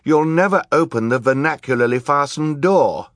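Man voicing a defiant, high-pitched young girl. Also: lively intonation, moderate pace, fairly bright tone, dry recording, studio quality. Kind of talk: audiobook character acting.

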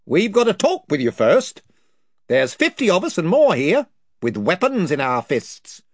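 A self-important chief of invisible creatures who rambles, circles back and forgets where he was, as a man reading the story puts him on. His voice is pitched above his plain one, husky and very lively. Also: measured pace, no room sound, studio quality, exaggerated voice. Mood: warning. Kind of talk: audiobook character voice.

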